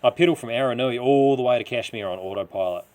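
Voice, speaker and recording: A man voicing a rough-living taxi driver with a dark past, rambling confidingly and insistently in slangy New Zealand speech. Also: medium pitch, fast, voice pushed up, natural voice. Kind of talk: character dialogue in audio story.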